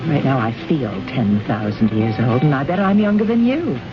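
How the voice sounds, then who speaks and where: A woman's voice: rumbling low, big pitch swings, normal speed. Haughty young lady diplomat in old radio play, really swoopy theatrical delivery, dull recording w music behind. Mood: playful. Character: proud young woman diplomat, former dancer, defiant and anxious. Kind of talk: old-time radio drama acting.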